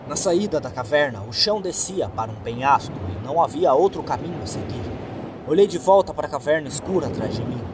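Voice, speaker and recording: A Brazilian man reading a horror story in a dramatic first-person narration, with deliberate, suspenseful phrasing. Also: mid-range voice, noisy background. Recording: music behind the voice. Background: background music.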